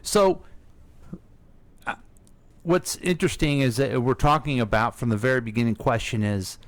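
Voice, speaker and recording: A man's voice: mid-range voice, moderate pace. A middle-aged man co-hosting a radio show in a casual, chatty style, putting questions and interjecting. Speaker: radio co-host asking questions. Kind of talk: casual chat, interjecting and joking.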